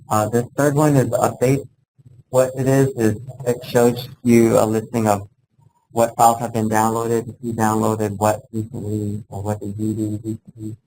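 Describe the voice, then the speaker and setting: A man with a fairly low voice leads an informal workplace training session. His delivery is very even and unanimated as he explains things plainly, one step at a time. There is some faint noise behind him in the live recording. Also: rough recording. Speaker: presenter of a workplace software training. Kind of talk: informal instructional presentation to a group.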